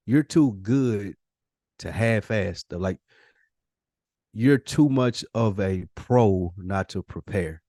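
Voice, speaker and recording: A man's voice: fairly low pitch, a little mellow, no echo, studio quality. A middle-aged man talking casually on a podcast as co-host, motivational and emphatic, repeating phrases for weight and using profane slang.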